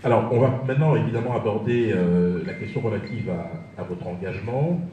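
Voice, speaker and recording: A man's voice: fairly low pitch, fairly quick, mellow. A man moderating a press conference in a courteous, wordy and formal way as he hands out the questions. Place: press conference room in Brussels. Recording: very echoey hall, distant, dull, faint noise.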